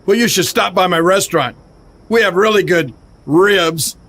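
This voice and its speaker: A man's voice: high-pitched, moderate pace, fairly bright tone. A man playing a brash, sly veteran wrestling legend in a video game cutscene, cocky and always dealing. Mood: smug, breezy.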